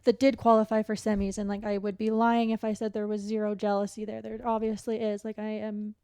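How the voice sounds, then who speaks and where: A woman guest on a podcast, an athlete and coach, talking candidly and casually in a halting, reflective way full of "like". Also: medium pitch, completely flat delivery, mellow, smooth, no room sound, studio quality. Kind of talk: candid casual interview chat.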